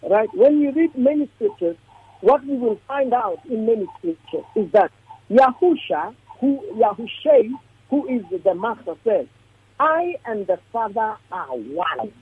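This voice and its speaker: Husky voice over a phone line. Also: mid-range voice, expressive, unhurried. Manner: earnest and emphatic, restarts phrases while thinking. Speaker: panelist on a live religious talk show. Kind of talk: preaching-style discussion, reading scripture aloud.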